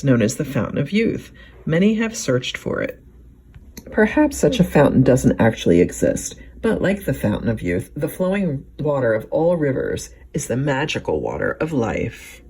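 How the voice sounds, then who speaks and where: Expressive, low-pitched woman reading a picture book. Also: breathy edge. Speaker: children's librarian reading aloud. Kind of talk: picture book read-aloud narration.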